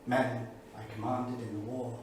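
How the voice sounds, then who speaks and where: An actor playing a brooding English intellectual of the 1930s in a staged reading, speaking in a grave, rhetorical manner. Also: male voice, mid-range voice, flat delivery, moderate pace, close to an everyday voice. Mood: solemn. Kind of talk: staged reading of a dramatic monologue. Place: small theatre studio. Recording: distant, echoey theatre recording with noise.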